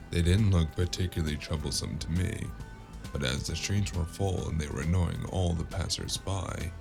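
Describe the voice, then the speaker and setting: Gravelly, very deep noir drifter played by a man. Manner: sardonic, musing, drawn-out first-person storytelling. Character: wry, world-weary male cyberpunk noir freelancer narrator. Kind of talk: noir audio drama narration. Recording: music behind the voice.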